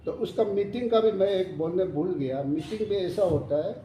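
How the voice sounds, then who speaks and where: A man in his seventies, chairing a meeting and addressing the committee in a halting way, pausing and correcting himself. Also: sits high, warm tone. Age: 70s. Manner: halting and unhurried, with pauses and self-corrections. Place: hotel meeting hall. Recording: echoey hall, mic far off, faint room noise.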